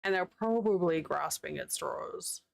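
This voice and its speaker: A New Zealand woman chats loosely with her stream audience, rambling and laughing as she goes. Her voice has a gravelly edge. The recording is dry and close, with no room sound. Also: on the low side, unhurried, dry recording. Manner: chatty, rambling, laughs often. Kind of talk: casual chat with live chat while crocheting. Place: home, living in the United States.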